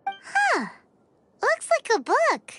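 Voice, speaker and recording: Tiny hyper fairy girl game sidekick, super squeaky, chirpy, pitch all over the place. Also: very slow, very noisy, exaggerated voice. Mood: curious. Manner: speaks of herself in third person, chirpy, expressive. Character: tiny excitable floating fairy girl companion, childlike. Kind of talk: video game character acting.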